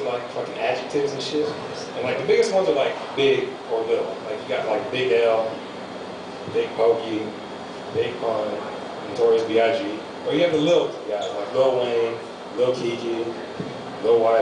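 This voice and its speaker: A man's voice: medium pitch, noisy background. Young man doing stand-up in echoey room. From United States. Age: young adult. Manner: loose rambling delivery with fillers. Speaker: amateur stand-up comic. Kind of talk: stand-up set. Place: small comedy venue. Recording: echoey room, mic far off.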